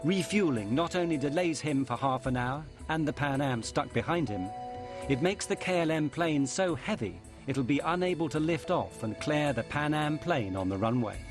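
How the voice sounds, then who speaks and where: A man narrating a documentary in a measured, grave scripted style. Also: a little high, animated, over music.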